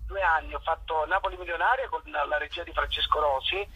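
A man from Naples, an actor, talking on the phone in a thoughtful way and often pausing to search for words. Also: on the high side, steady tone, sharp tone, husky. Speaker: actor guest. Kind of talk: phone interview. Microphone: telephone. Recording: muffled phone line.

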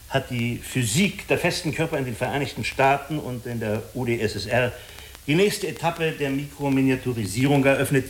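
A man playing a diplomatic, persuasive agency official in a German radio drama, speaking formally and deliberately with small hesitant pauses. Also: medium pitch, lightly acted. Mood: explanatory, diplomatic. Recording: clearly hissy radio recording.

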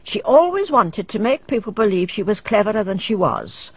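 A woman's voice: mid-range voice, big pitch swings, moderate pace, breathy edge, no room sound, heavily acted. An actress playing a sly old village woman who tells fortunes and dresses as a witch, talking in a cryptic, gossiping, rustic way in a radio drama. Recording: dull dry radio drama recording.

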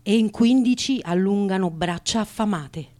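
A woman's voice: mid-range voice, normal speed, warm tone. A woman performing a scripted theatrical recitation. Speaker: performer in a spoken theatre piece.

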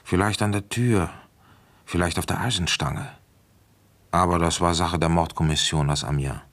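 An actor playing a weary, dry police inspector in a radio crime play, measured and matter-of-fact as he narrates and questions. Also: sits low, a bit bright. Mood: measured, sober.

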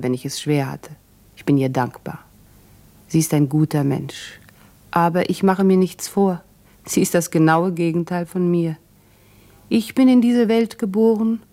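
Husky, mellow grieving exiled wife. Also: female voice, fairly low pitch. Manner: solemn, intimate, fervent, often dropping to whispers. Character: grieving exiled wife writing a farewell letter. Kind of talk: 1950s German radio drama acting, letter monologue.

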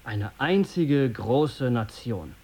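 A man's voice: medium pitch, lively intonation, extremely slow, a little mellow, slightly rough, exaggerated voice. A condescending middle-aged editor in a radio drama. He lectures like someone in charge, pompous and sarcastic, with little patience for whoever is in front of him. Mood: smug, sardonic. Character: condescending, self-important male radio editor and family patriarch. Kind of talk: German radio drama acting.

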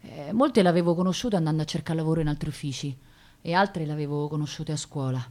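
A woman's voice: deep voice, quick pace, a little mellow, close to an everyday voice. An actress playing a proud, touchy young working-class woman with a Roman accent, talking colloquially and emotionally in a radio play. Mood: chatty, scornful. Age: young adult. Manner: colloquial, repeating words, emotional. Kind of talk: theatrical radio drama monologue.

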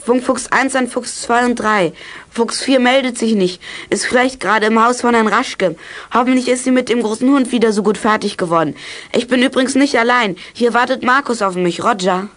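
Woman as eager child detective, high voice, club leader, youth radio play. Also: normal speed, a bit bright, lightly acted. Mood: brisk, businesslike.

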